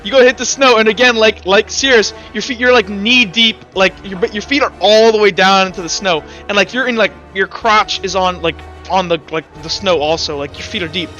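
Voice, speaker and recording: A man's voice: high voice, brisk, few pauses, sharp tone, highs cut off. A man narrating a tabletop roleplaying game as game master, improvising in a casual, profane, amused way. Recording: faint music behind the voice. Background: faint music.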